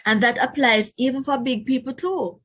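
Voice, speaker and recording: A woman reading aloud as a teacher to young children, instructive and expressive, adding explanatory asides. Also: sits high, normal speed. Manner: instructive, addresses young listeners directly, expressive emphasis.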